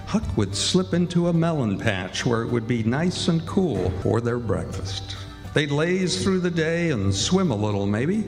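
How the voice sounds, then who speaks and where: A man's voice: medium pitch, a little mellow. A man playing the storytelling narrator of a river adventure, in scripted radio drama narration. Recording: echoey hall, music behind.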